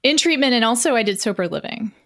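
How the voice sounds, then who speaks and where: A woman's voice: on the high side, expressive, fast, a bit bright. A middle-aged woman telling a personal story conversationally as an interview guest, reflective and self-deprecating, often checking in with "right?".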